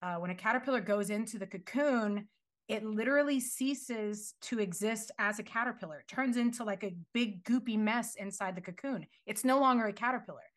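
A young woman telling her life story in a relaxed, earnest way. Her pitch sits in the middle, and she laughs now and then. She leans on casual fillers as she talks about finding peace. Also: brisk. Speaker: guest sharing her faith story. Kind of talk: casual faith testimony.

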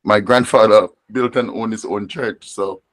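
A man's voice: on the low side, steady tone, moderate pace, no room sound. A Jamaican man, a reggae artist, talking reflectively in an interview, often restarting and repeating words as he gathers his thoughts. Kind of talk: conversational interview.

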